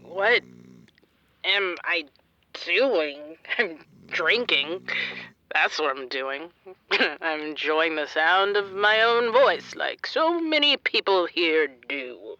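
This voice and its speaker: A woman's voice: lowish voice, animated, takes it slowly, bright, forceful tone, lo-fi sound, full character voice. A woman playing a troubled, self-doubting young radio interviewer in a naturalistic audio drama. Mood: tipsy, despondent. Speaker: radio reporter.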